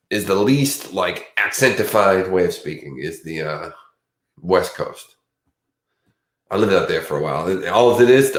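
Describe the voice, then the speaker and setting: A man with a Boston accent, sleepy and chatting in a rambling, casual way as co-host of a true crime stream. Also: sits low. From Boston area. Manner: meandering, chatty, restarts often. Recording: roomy echo.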